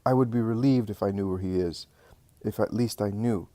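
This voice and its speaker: A man's voice: lowish voice, moderate pace, warm tone, no room sound, lightly acted. A man voicing an anguished, frightened woman who protests and pleads in an agitated way, in a dramatic story reading. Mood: hesitant, subdued.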